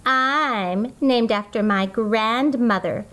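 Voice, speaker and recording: A woman's voice: on the high side, slow, a bit bright. A woman voicing a snooty know-it-all schoolgirl in a children's storybook, pointed and emphatic. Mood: smug.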